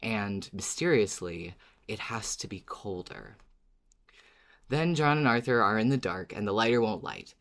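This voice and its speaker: A podcast co-host with a mid-range voice recaps a show in a casual, fannish way. The delivery is effusive and dramatic, with some searching pauses as thoughts form. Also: medium pitch, lively intonation, fairly bright tone.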